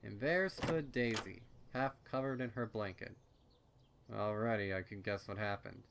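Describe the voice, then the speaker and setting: A man's voice: fairly low pitch, measured pace, vocal fry, noisy background. A man voicing a chatty, nervous young female pony florist who narrates her story with rambling, stammering asides. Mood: wry, narrating. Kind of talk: first-person storybook narration in character.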